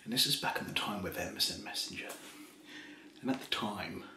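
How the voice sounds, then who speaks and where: A forty-year-old man tells a rambling story with self-mocking laughter, his voice fairly low yet bright and forward. The small kitchen gives it a roomy echo. A faint hum runs underneath. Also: a little fast. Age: 40s. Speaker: ASMR creator. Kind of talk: casual soft-spoken storytelling.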